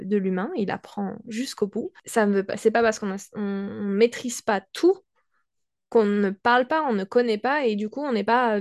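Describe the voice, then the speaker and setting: A woman language teacher co-hosting a podcast, talking thoughtfully with frequent pauses and restarts, with a Mexican-tinged French accent. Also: mid-range voice, a little mellow, dry recording, studio quality. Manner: thoughtful, hesitant with many restarts and fillers. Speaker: podcast co-host. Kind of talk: casual conversation.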